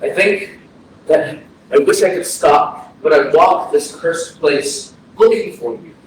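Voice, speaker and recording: A man playing a haunted, grieving man of almost thirty in a staged reading, speaking earnestly and haltingly as he pleads with the dead. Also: a little high. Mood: anguished searching. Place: indoor arts center stage, recorded from afar. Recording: very echoey, distant hall, faint noise, processed.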